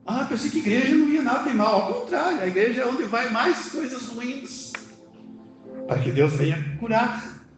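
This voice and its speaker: A man's voice: very high-pitched, sing-song, moderate pace. A middle-aged man with a Brazilian accent preaching a conversational sermon, emphatically asking questions and answering them himself. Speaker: preacher, church elder. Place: indoor church service, music in the background. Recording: very echoey church hall, music behind.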